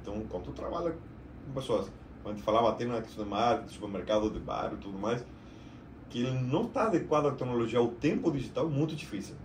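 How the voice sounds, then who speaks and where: A man's voice: medium pitch, expressive. Argentine man rambling casually, spanish accent, echoey room, faint hiss. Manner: chatty, slightly halting storyteller. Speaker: podcast guest, IT entrepreneur. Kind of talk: casual podcast conversation. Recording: roomy echo, mic at a distance.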